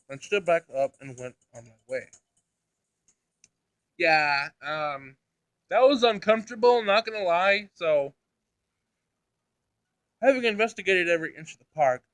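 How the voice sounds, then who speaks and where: A man with a slightly high voice and a dry, close sound reads a visual novel aloud for a let's-play. His delivery is halting, with stumbles over words and offhand reactions in a casual tone. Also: fairly bright tone, clean tone, no echo. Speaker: let's-play video creator.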